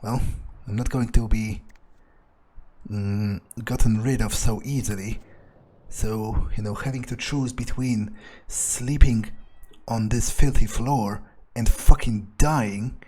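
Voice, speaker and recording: A man's voice: fairly low pitch, fairly even intonation, slow, mellow. A man playing a sardonic, grumbling vampire who thinks aloud in casual, sweary monologue for a game roleplay. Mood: disgruntled. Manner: grumbling, sarcastic, swears freely. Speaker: game player narrating in character.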